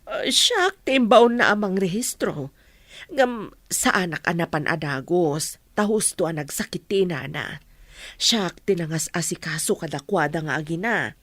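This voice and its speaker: A woman's voice: on the low side, expressive, a little slow, a bit bright, dry recording. A woman with a Filipino accent acting a protective, defensive adoptive mother in a radio drama.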